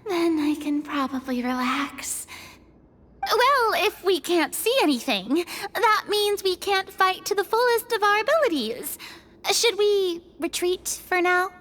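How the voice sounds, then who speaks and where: Game role: timid young girl adventurer, very high and swooping, unhurried, expressive. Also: full character voice. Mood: puzzled, relieved. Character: young girl adventurer, anxious, easily startled, sweet. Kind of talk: anime-style game voice acting.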